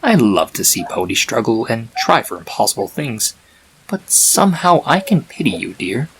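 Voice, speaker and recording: A man voicing a calm, disembodied chaos spirit, sly yet pitying, speaking in a slow theatrical way. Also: mid-range voice, rough recording. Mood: sly, tender. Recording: faint hiss.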